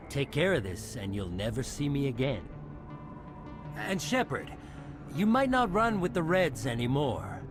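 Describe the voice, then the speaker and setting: Smug, swooping gang thug. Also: male voice, mid-range voice. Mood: veiled threat. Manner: needling, menacing. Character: scheming male human gang thug, smug and threatening. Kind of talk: video game voice acting.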